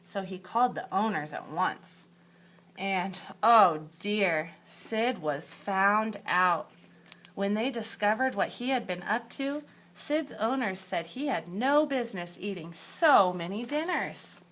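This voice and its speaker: A woman teacher reading a picture book aloud to young children in a deliberate, emphatic storytelling way, with asides to her pupils. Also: medium pitch, lively intonation, a bit bright. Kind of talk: expressive picture-book read-aloud for second graders.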